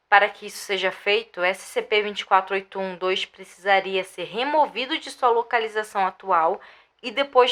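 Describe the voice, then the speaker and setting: A woman playing a formal lead researcher, reading a scripted report in a measured way for an audio drama. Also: medium pitch, bright, forceful tone, natural voice.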